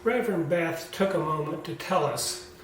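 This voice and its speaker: A man's voice: fairly high pitch, expressive, normal speed. A man presenting an informal scripted video recap of church business, recorded in an echoey room away from the microphone. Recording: very echoey room, distant mic, faint noise.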